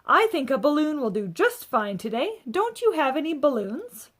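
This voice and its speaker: A woman's voice: sits high, very animated, normal speed, pitched above the performer's own voice. A woman voicing an exasperated, fretful mother protesting in disbelief in a children's storybook. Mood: insistent. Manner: protesting, incredulous.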